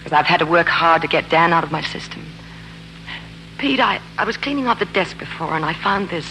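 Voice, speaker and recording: An actress playing a candid, steady young wife in a 1940s radio drama, frank and probing. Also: female voice, fairly low pitch, a little fast. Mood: concerned, probing. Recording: noisy, humming 1940s radio broadcast.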